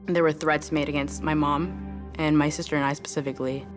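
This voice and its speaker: A deep-voiced woman in her twenties recounts events in measured, articulate sentences. Music runs clearly beneath her words. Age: 20s. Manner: articulate, steady storytelling. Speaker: daughter interviewed in a documentary. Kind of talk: documentary interview. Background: background music.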